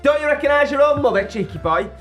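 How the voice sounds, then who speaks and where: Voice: high-pitched, expressive, fast, fairly bright tone, faint music behind. A performer voicing a brash, scolding mother, nagging and gossipy. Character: northern English mum, brash and scolding.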